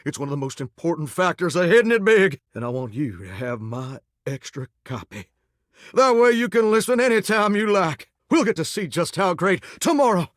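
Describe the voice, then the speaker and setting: This is a flirty, melodramatic shower come to life for a video game. The voice sits high and leaps up and down with theatrical feeling. It has a folksy Southern American accent and an effusive, would-be star's charm, captured close and dry. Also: male voice, sing-song, moderate pace, no room sound. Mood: delighted, flirty. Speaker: dateable character in a video game. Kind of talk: animated video game voice acting.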